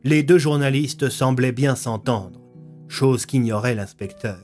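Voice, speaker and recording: A man's voice: mid-range voice, unhurried, a little mellow, soft background music. A man narrating a scripted audio fiction story.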